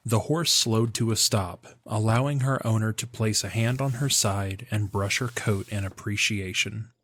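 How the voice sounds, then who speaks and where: A man's voice: fairly low pitch, normal speed, fairly bright tone, dry recording. A man giving scripted narration of a fantasy audio drama as its narrator.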